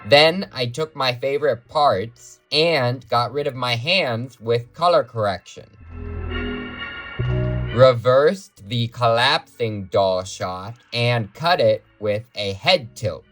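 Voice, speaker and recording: A man speaks as the host and creator of a video, narrating how it was made. His voice sits at a middling pitch. Faint music plays behind him as he explains the process. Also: lively intonation, slow, a bit bright, low-quality recording.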